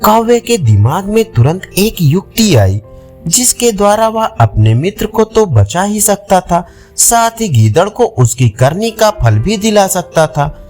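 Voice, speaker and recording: A man's voice: high voice, very animated, measured pace, a little mellow, soft background music. A man narrating a scripted children's fable as a storyteller.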